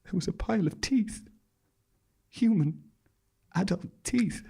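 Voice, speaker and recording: A man performing a theatrical horror monologue as a haunted man dwelling on gruesome details, with a husky, gravelly voice. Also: high-pitched, lively intonation, unhurried, dark tone, exaggerated voice. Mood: horrified, dramatic. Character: haunted man recounting a grisly hotel nightmare, wry and dramatic. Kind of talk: dramatic monologue performed on stage.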